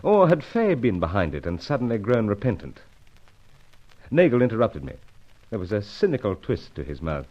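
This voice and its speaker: An actor playing a brisk, unflappable British secret agent who narrates his own adventure, with a clipped, stiff-upper-lip delivery, in an old radio serial. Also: fairly low pitch, very animated, darkish tone. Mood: narrating. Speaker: narrator and lead. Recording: dull lo-fi old radio recording.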